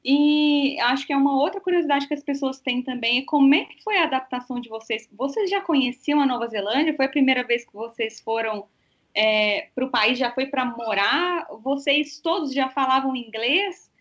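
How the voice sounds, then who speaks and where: Woman host, chatty, keeps checking in with the guest, fairly high voice, muffled call audio. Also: normal speed, fairly bright tone. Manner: chatty, frequent tag questions. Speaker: interview host, works in student exchange. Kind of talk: casual interview.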